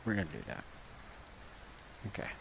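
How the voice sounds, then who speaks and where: A man rambling in a soft-spoken ASMR style, unscripted, thinking aloud in halting short phrases. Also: noisy background. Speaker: ASMR video creator.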